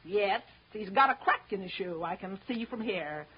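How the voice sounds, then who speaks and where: A woman's voice: mid-range voice, expressive, normal speed, breathy edge. An actress with an American accent playing a sour, suspicious, bossy middle-aged mother in a 1930s radio drama, scolding in folksy, plain-spoken fashion. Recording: dull vintage 1930s radio broadcast.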